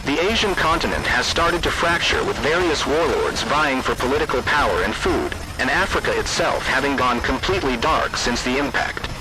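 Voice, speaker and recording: A man reading scripted emergency alert announcements in a formal, deliberate manner. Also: mid-range voice, lively intonation, background noise. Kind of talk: scripted emergency broadcast narration.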